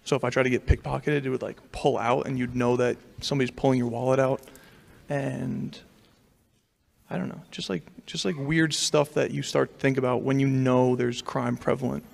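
A man's voice: medium pitch, steady tone, normal speed, vocal fry. A young man from New York, a student, talking casually into a handheld mic in a lecture hall and rambling with many fillers. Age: young adult. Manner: rambling, heavy filler use. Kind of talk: casual class discussion.

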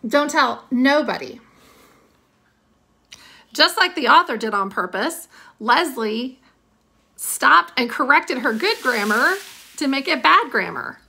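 A woman in her thirties reading a story aloud expressively like a teacher, pausing for short teaching asides. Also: high voice, animated, creaky, bright, forceful tone, slightly rough. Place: small indoor room with classroom alphabet wall strip. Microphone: phone at arm's length.

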